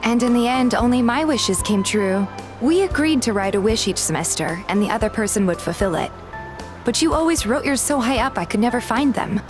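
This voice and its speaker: A woman's voice: on the high side, expressive, fairly quick, natural voice. Woman acting a pouty young heroine over music. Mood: nostalgic, teasing. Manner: playfully complaining. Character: pouty, loyal young woman, game heroine who narrates. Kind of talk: fan dub dialogue with first-person narration. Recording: clear background music.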